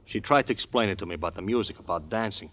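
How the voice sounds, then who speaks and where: A man's voice: sits low, racing, a bit bright. An actor playing a cocky, menacing gangster with smug tough-guy talk and devotion to his mother, in an old radio crime drama. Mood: agitated.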